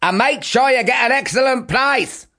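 A man voicing an exasperated mother who scolds in a shrill voice. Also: brisk, pushed and bright. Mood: scolding.

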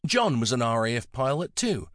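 A man voicing a kindly, affectionate elderly woman with a soft Antipodean accent and a fond, coaxing manner. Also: medium pitch, sing-song, moderate pace, no room sound, clean studio sound, natural voice. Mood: fond, chatty.

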